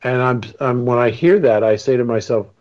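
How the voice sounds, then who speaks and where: A man's voice: mid-range voice, brisk, a little mellow, dull audio. A man speaking as a radio panelist, earnest and impassioned, in a rambling way. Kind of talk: opinionated radio talk.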